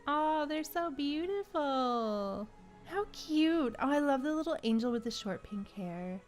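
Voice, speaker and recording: Woman doing a chill game stream, slow talker, highish voice, chats at the game, bit of music. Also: lively intonation. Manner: chatty, reacting aloud to the game. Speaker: game livestreamer. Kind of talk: casual gameplay commentary.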